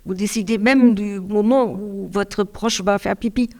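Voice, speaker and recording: A middle-aged woman from Germany speaking French with a German accent, giving a hesitant, halting caregiver's testimony with many restarts. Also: medium pitch, fairly even intonation, a little mellow. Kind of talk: public testimony interview.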